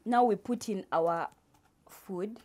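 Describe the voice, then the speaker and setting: A young woman casually explaining cooking for a vlog, deliberate and often repeating her phrases. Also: medium pitch, darkish tone. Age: young adult. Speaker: guest vlog presenter.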